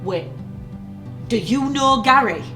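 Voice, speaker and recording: A woman's voice: fairly high pitch, lively intonation, slow pace. A woman playing a bewildered, sceptical young office worker in an audio drama, blunt and questioning. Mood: confused, anxious. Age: young adult. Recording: echoey with music underneath.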